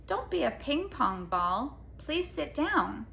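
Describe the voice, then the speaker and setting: A woman voicing a firm but encouraging female schoolteacher in a storybook, giving deliberate instructions. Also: high voice, big pitch swings, gravelly, fairly bright tone, slight background noise, voice pushed up, exaggerated voice.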